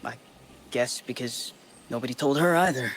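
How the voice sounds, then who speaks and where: A man's voice: medium pitch, normal speed, a bit bright, natural voice. An actor playing a lonely male colonist survivor, bitter and weary, rambling through a desperate audio log for a game, with faint ambient noise behind.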